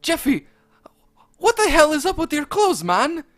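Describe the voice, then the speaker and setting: A man voicing an excitable chef who shrieks in alarm. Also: very high voice, measured pace, pitched above the performer's own voice, heavily acted. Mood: shocked.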